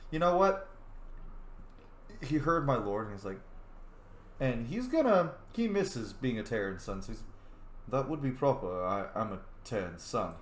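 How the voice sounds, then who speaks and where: A man's voice: medium pitch, big pitch swings, measured pace, pauses often, echoey room, slight background noise. A young man casually commenting on a roleplaying game, joking and reading dialogue choices aloud. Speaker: gamer playing and roleplaying a game. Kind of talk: casual gameplay commentary with in-character lines.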